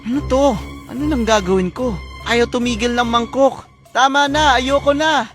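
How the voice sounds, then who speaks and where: A woman's voice: fairly high pitch, normal speed, soft background music, natural voice. A woman voicing a cheeky, hungry little brother in a children's fairy tale, teasing and whining. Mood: panicked. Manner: teasing, whiny. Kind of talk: children's storybook acting.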